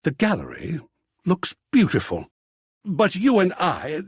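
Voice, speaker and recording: Casting: melodramatic gallery aesthete for a game; slightly high, husky voice, florid, thin-skinned, theatrical delivery. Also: male voice, wildly swooping pitch, dry recording, clean studio sound, exaggerated voice. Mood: wounded, cold. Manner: theatrical, florid, deliberate phrasing with dramatic pauses. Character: effusive, wounded, melodramatic male art gallery aesthete. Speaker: video game voice actor. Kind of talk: theatrical video game character dialogue.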